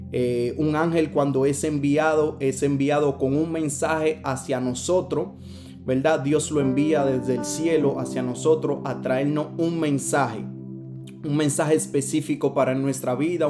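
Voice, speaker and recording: A man from the Dominican Republic with a Dominican accent, preaching a sermon over a video call with earnest repetition and many hesitant fillers. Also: on the high side. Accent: Dominican Spanish. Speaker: evangelist preacher.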